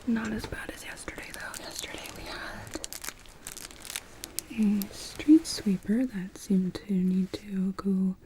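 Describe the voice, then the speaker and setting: A woman whispering softly and breathily in an ASMR medical roleplay as an optometrist, explaining things gently and without hurry. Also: mid-range voice, slow.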